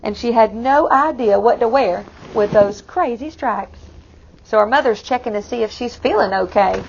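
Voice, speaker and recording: A woman reading a picture book aloud to children, expressively, pausing to explain it in simple asides. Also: on the high side, creaky, muffled recording, slight background noise. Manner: expressive, explains the story to young listeners. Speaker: read-aloud storyteller for children.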